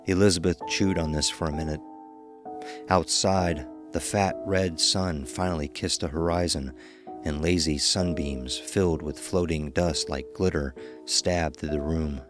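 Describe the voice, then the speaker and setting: A man's voice: rumbling low, steady tone, measured pace, music in the background. A man narrating a scripted horror story.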